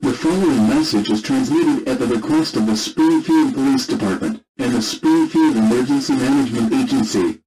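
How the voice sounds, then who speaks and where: A man's voice: medium pitch, moderate pace, hardly any pauses, warm tone, rough recording. A man reading a scripted broadcast announcement as a radio announcer. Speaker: radio announcer and emergency alert reader.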